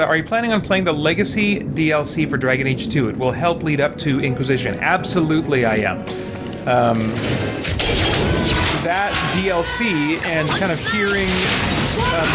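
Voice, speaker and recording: A man chatting casually as a game streamer, thinking aloud in an informal, rambling way. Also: a little high. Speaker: streamer playing and commenting on a video game. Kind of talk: casual live game commentary chat. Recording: game music behind the voice. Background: video game music.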